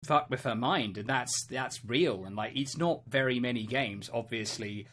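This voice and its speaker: Podcast co-host, medium pitch, emphatic and opinionated, chatting casually about games. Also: normal speed, a bit bright, a little hiss or hum. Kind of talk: casual video game discussion.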